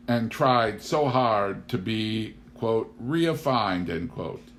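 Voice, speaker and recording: A man with a fairly low voice reads a humorous memoir aloud. The delivery is scripted audiobook narration, and the recording carries noticeable noise. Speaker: audiobook reader.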